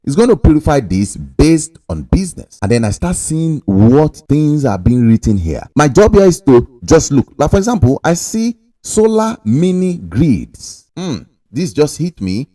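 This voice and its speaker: A man's voice: a little high, very animated, a little slow, hardly any pauses, warm tone, no echo. A young adult man giving a casual screen-recording tutorial. He talks straight to the viewer with pushy, salesman-like emphasis. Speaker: online money-making tutorial host.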